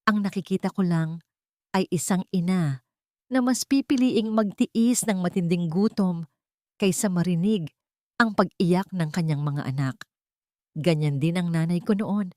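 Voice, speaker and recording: A woman voicing a gentle, sincere, world-weary poor repairman in an audio drama, softly and earnestly. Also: fairly low pitch, measured pace, long pauses, dry recording, clean studio sound, close to an everyday voice. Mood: quiet sincerity. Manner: soft, earnest.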